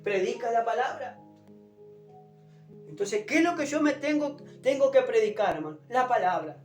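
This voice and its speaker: Preacher, sore strained throat, forceful exhorting delivery in a roomy space, unamplified and distant. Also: mid-range voice, animated, normal speed. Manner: emphatic, exhorting, repeats phrases and addresses listeners as brother. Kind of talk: fervent evangelical sermon. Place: echoing room, preaching unamplified. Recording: roomy, distant pickup without a microphone, music behind.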